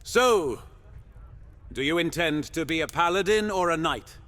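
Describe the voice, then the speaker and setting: A man's voice: on the high side, expressive, unhurried, fairly bright tone. A man playing a proud, gossipy paladin who banters and teases in a fantasy roleplay audio drama. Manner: teasing, indignant, conspiratorial.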